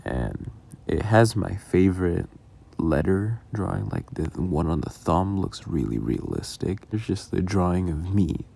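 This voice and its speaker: A man's voice: low-pitched, animated, measured pace, creaky, dark tone, breathy edge. A man giving casual, rambling behind-the-scenes commentary in a self-deprecating, casually sweary way. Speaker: audio roleplay creator.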